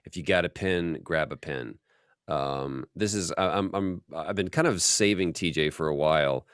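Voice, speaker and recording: A man with a low voice hosts a relaxed workshop interview. His delivery is informal, chatty and animated, like someone swapping stories. The sound is dry, with very little room on it. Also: moderate pace, smooth, no echo. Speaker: host.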